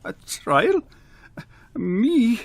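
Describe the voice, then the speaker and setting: An actor playing a frightened, pleading farmer in a western radio drama, halting and stammering, with stiff formal phrasing. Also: male voice, extremely high pitch, big pitch swings, vocal fry, no echo, exaggerated voice. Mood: anxious pleading. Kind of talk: old-time western radio drama acting.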